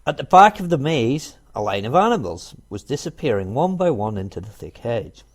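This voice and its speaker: A man reading a children's storybook aloud in an expressive narrating style. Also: mid-range voice, moderate pace. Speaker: storybook reader.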